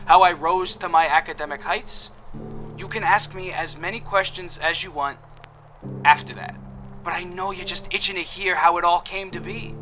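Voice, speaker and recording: A man's voice: sits high, fairly even intonation, normal speed, pushed and bright, faint music behind. An actor playing a cheerful, boastful male apothecary suspect in an audio drama, with a chipper, self-satisfied, theatrical manner. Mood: smug.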